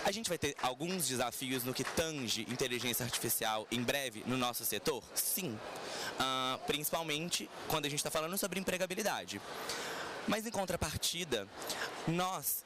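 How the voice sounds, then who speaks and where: A man speaking as head of people on a conference panel, in a chatty, playful way full of slang and tag questions. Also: a little high. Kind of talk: casual conference panel talk. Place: conference stand. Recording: noisy venue ambience.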